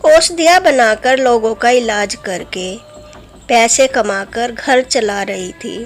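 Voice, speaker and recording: A woman reads a children's fairy tale in a high voice. She takes her time over each phrase, in a storyteller's scripted style, with music playing behind her. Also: slow pace.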